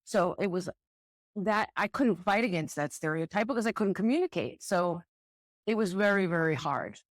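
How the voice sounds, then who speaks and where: A woman's voice: on the low side, lively intonation, moderate pace, no echo. Candid middle-aged woman, dry sound. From United States. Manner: thoughtful, candid, repeats words while searching. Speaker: guest, director of student housing. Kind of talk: relaxed interview conversation.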